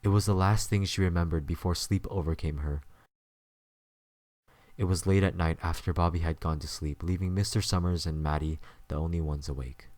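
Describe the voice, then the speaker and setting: A man's voice: low-pitched, fairly even intonation, moderate pace, a little mellow, no echo. A man narrating a scripted story as the narrator of an audio drama.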